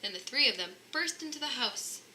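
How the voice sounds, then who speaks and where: A woman reading a children's storybook aloud in an animated narrating style. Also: high-pitched, brisk, poor audio. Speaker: storybook reader. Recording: faint background noise.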